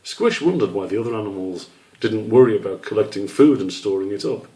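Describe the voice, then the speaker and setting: A man reads a children's story aloud as its author. His voice is fairly low and very mellow, suited to storybook narration. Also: expressive, brisk, poor audio.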